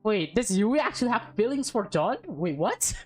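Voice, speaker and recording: A woman's voice: mid-range voice, very animated, moderate pace. A woman reading a visual novel aloud as a let's player, informally, with casual asides and stumbles. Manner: informal, stumbles over words and comments on the story. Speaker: let's play host. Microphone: close mic. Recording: background music under the voice.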